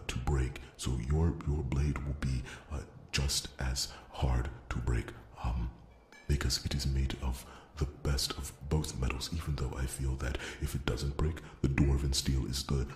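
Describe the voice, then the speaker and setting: A man playing a gentle, earnest dwarf blacksmith in an ASMR fantasy roleplay, stammering deferentially in a hushed half-whisper. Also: a bass voice. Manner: halting, stammering, deferential, repeats his words. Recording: roomy echo, faint background noise.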